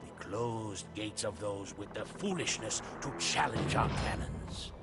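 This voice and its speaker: A man's voice: fairly low pitch, animated, a little slow. A man playing a proud Portuguese seafarer, narrating a strategy game's storybook campaign in a grave, theatrical manner. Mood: proud, grand. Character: proud Portuguese seafarer recounting his captain's conquests. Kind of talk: dramatic scripted storybook narration for a strategy game. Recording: studio voice over prominent music.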